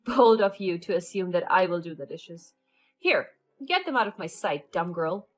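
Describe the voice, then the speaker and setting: A woman's voice: high voice, very animated, a little slow, faint music behind, voice pushed up. A woman voicing a scheming, spiteful older mother-in-law who talks in a mocking, babyish tone. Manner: mocking, babyish, fake-sweet.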